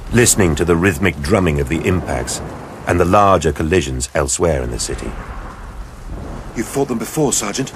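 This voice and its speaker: An extremely deep male voice delivers dramatic scripted narration. He is grave and measured, as befits an audio drama storyteller. A faint bed of stormy noise runs behind him.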